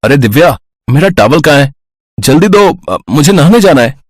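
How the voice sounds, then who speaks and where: A man playing a young family man in acted story dialogue, with a husky voice. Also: on the high side, animated, moderate pace, dry recording, clean studio sound. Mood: hurried.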